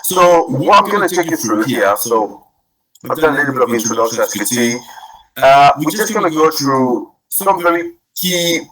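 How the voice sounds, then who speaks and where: A man who grew up in Cameroon presents in a webinar. He speaks over a video call, restarts often and fills pauses. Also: fairly high pitch, lively intonation, fairly bright tone, poor audio. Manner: many restarts and fillers, rising energy.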